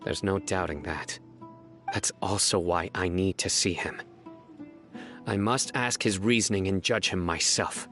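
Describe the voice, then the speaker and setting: A man voicing a stern young judge in a video game, speaking gravely and resolutely. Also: low-pitched, a little slow, fairly bright tone. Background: game music with plucked guitar.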